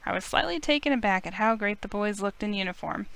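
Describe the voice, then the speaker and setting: A woman streamer reading visual novel narration aloud in an expressive storytelling style. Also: mid-range voice, quick pace, vocal fry, smooth.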